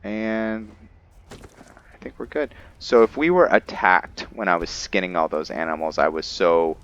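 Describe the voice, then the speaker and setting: A man giving casual commentary on a game roleplay, thinking aloud in an unhurried way. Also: low voice, fairly bright tone, lo-fi sound. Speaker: gamer narrating roleplay playthrough.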